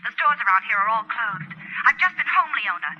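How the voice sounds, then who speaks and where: A woman's voice: on the high side, sing-song, fairly quick, husky. An actress playing an anxious, earnest married woman urgently confiding in a friend, in 1940s radio drama style. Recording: muffled old radio broadcast, telephone-like tone.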